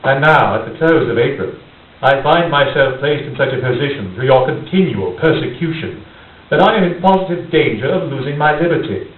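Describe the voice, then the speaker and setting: A man acting a menacing, courteous criminal mastermind in radio theater, speaking in a formal, deliberate, coldly polite way. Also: a little high, animated, lightly acted. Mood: politely threatening. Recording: tinny, hissy, roomy, distant microphone.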